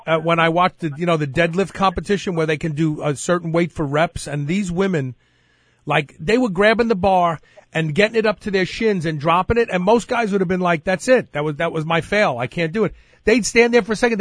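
A man with a clearly high-pitched voice hosts a casual interview. He is informal and enthusiastic, and his voice is recorded dry. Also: brisk, fairly bright tone, no room sound. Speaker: interview host. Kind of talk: casual chat and questioning.

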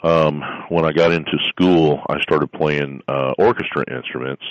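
Very deep-voiced middle-aged man. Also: fairly even intonation, normal speed, dry recording, lo-fi sound. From South Florida, USA. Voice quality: very deep bass voice. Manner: rambling, lots of fillers and restarts. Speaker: guest, strength coach and musician. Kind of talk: casual interview storytelling.

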